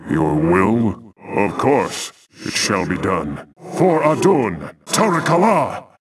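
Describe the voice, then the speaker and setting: An actor playing a proud, solemn, noble alien warrior with a formal, imperious manner in a video game. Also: male voice, fairly low pitch, sing-song, slow pace, noisy background. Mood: stern, impatient. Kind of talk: video game unit barks.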